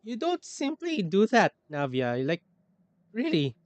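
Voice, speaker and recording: A man's voice: sits high, huge pitch swings, unhurried, no echo. A man livestreaming a game, reacting casually and hesitantly, repeating phrases and breaking into exclamations. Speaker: streamer.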